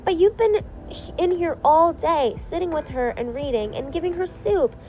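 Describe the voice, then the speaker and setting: A bossy little girl, acted by a woman reading a story, pitched very high and sing-song. She pleads and teases like a pushy child, heard through dull audio with music. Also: normal speed, a little hiss or hum. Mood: whiny, arguing. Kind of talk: storybook-style character voice in fan fiction reading.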